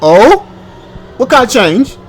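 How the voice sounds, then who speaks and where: A man's voice: very high-pitched, very animated, slow pace, faint music behind. A man hosting a gaming channel, chatting and rambling casually as he reacts aloud to a game. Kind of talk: casual let's-play commentary.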